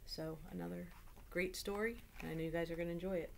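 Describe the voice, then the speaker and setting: A woman's voice: on the low side, steady tone, quick pace, vocal fry, a little hiss or hum. A middle-aged woman reads a children's picture book aloud as a storyteller at home. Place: small room at home.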